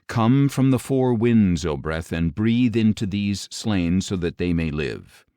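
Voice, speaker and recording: A man acts out a solemn, commanding God in an audio Bible. The voice is low and grave, declaiming in measured phrases that rise and fall widely. The sound is dry and close. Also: lively intonation, warm tone, smooth, no room sound. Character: commanding, solemn male God speaking prophecy. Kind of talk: audio Bible scripture reading.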